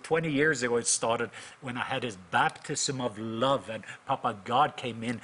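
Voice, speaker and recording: A man's voice: medium pitch, a bit bright. A man in his fifties from Norway with a Norwegian accent, speaking conversationally as an interview guest about his own experience. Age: 50s. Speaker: guest, minister and author.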